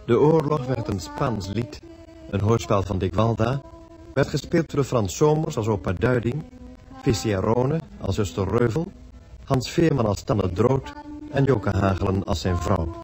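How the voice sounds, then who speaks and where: A man's voice: fairly low pitch, lively intonation, a little slow, low-quality recording. A man reading scripted radio credits as an announcer. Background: music.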